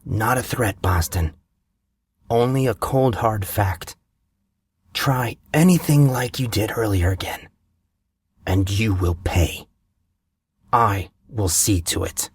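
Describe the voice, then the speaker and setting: A man's voice: fairly low pitch, slow, pauses often, husky. A man voicing a furious young man who threatens a rival in a cold, clipped way.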